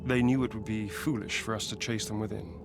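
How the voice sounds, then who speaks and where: An actor playing a grave, formal ancient guardian in a video game, speaking deliberately as the mediating brother. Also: male voice, fairly low pitch, fairly even intonation, creaky, music in the background.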